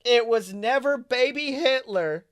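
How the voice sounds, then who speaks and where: High-voiced man improvising comic stories. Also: a little slow, a bit bright, no room sound. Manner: rambling, self-correcting, playfully dramatic. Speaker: podcast co-host. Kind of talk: improvised comic storytelling, casual chat.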